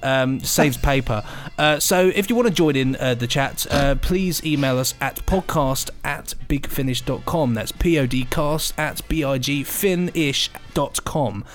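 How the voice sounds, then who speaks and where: A man's voice: medium pitch, a little slow, hardly any pauses. Jokey British man, music behind him. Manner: jokey, self-mocking, chatty. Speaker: podcast co-host. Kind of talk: casual rambling podcast banter.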